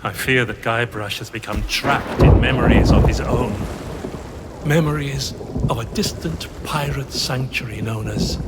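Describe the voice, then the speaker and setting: A man's voice: medium pitch, noisy background, natural voice. Solemn, mysterious pirate guide, voiced by a man. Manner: measured, grave, portentous. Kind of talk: video game cutscene storytelling. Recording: storm and sea ambience behind.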